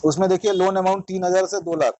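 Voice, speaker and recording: A man's voice: high-pitched, steady tone, fast, darkish tone. An Indian man hosting a phone interview, questioning and instructing a caller in a probing way, mixing in English words. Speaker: interviewer and host of a channel.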